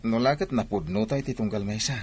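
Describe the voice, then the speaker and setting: An actor playing a polite, sweet-talking young student in a radio drama, with a Filipino accent. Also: male voice, fairly low pitch, a little fast, darkish tone, breathy edge. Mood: affectionate. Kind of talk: Ilocano radio drama acting.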